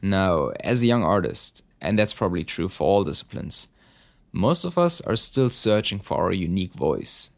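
Interviewer: man, very low voice, measured and encouraging. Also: sing-song, vocal fry. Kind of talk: interview questions.

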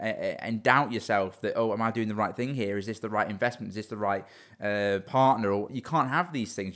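A young man in his twenties speaks quickly and earnestly in a relaxed interview. His voice sits a little low. He often restarts and doubles up words before pressing on. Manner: fast, earnest, repeats words when restarting. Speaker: business owner guest. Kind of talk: casual interview talk.

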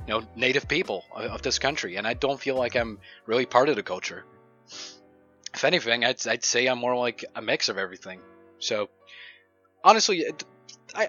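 A man's voice: medium pitch, pushed and bright, dull audio. A young man from Ukraine co-hosting a casual podcast, talking bluntly and swearing freely. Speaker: podcast co-host. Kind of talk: casual chat.

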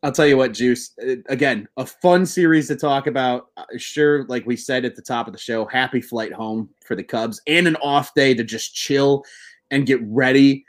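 A man in his twenties hosting a casual sports podcast, excitable and swearing, slightly buzzed. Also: medium pitch, moderate pace. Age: 20s. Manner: excitable, swearing, laughing, bro-ish banter.

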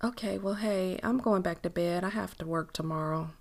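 A woman's voice: on the low side, normal speed, creaky, a little mellow. A woman voicing a guarded, easily flustered woman falling in love, hesitant and apologetic, in an audiobook romance. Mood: sheepish, apologetic.

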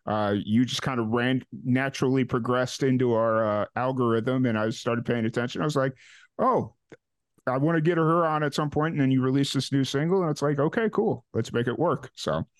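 A man hosting a casual podcast interview, relaxed and loose, with lots of "uh" and trailing "so". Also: medium pitch, brisk, dry recording, clean studio sound. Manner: relaxed, many "uh" fillers and trailing "so". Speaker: podcast host.

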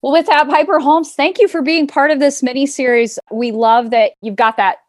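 A woman's voice: sits high, steady tone, normal speed, dry recording, clean studio sound. A woman hosting a podcast interview, speaking to guests and listeners. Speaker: podcast host.

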